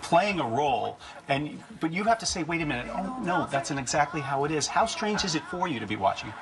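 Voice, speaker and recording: A man's voice: fairly high pitch, sing-song, fairly quick, poor audio. American man hosting morning television. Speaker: TV morning show host. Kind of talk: broadcast interview. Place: TV studio.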